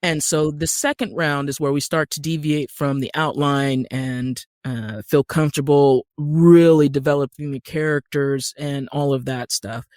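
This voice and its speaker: A woman with an American accent, talking as an interview guest in a conversational, animated storytelling way that acts out bits of dialogue. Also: low voice, unhurried, hardly any pauses, dry recording, studio quality. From Utah, United States. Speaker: guest, actor and screenwriter.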